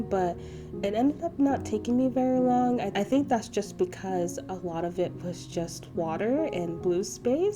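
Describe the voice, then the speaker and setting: A young woman, stuffy and a bit nasal from allergies, gives a soft, rambling voiceover about her painting process. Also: mid-range voice. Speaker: art channel host. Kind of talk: voiceover narration of a painting session. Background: background music.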